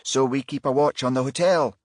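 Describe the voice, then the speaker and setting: Male narrator as female detective, raised pitch, alert, dutiful, brisk reporting, crime audiobook. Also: darkish tone, no room sound, clean studio sound, lightly acted.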